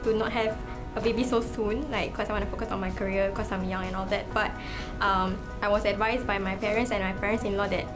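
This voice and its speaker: A woman's voice: medium pitch, steady tone, fast, hardly any pauses. A woman, answering interview questions in a plain, matter-of-fact way. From Singapore. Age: 20s. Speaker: interviewee, young mother. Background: background music.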